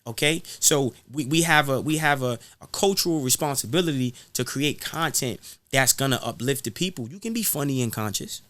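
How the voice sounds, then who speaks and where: A man's voice: medium pitch, expressive, fairly quick, fairly bright tone, no room sound. Guy on a podcast, kinda preachy, repeats himself to drive it home, dry close sound. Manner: emphatic, repeats phrases for emphasis. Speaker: guest rapper being interviewed. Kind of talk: impassioned, preachy podcast talk.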